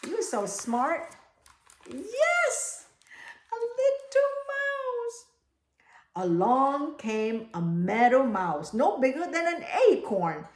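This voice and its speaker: A woman reading a picture book aloud to children, expressive and animated, with chatty, exclaiming asides to young listeners. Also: high-pitched, very slow. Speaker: read-aloud storyteller for children. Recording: echoey room.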